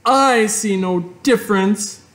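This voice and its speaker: A man voicing an eight-year-old farm girl who pleads in a shrill, outraged voice. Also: slow, fairly bright tone. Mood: distraught.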